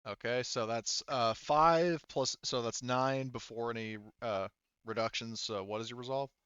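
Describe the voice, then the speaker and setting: Bright, clear-voiced man running a tabletop game. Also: mid-range voice, measured pace, dry recording, muffled recording. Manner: casual, profane. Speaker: game master. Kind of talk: improvised tabletop roleplaying game narration and rules calls.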